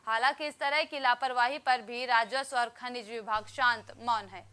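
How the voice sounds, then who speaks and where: A woman reading scripted television news bulletins in a crisp, formal newsreader manner. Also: fairly high pitch, fairly even intonation, normal speed, pushed and bright. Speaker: news anchor.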